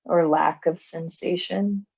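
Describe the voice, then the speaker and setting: A woman leading a guided body scan meditation in a calm, gentle, unhurried manner, with long pauses. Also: on the low side, creaky, husky, dull audio. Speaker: mindfulness meditation instructor.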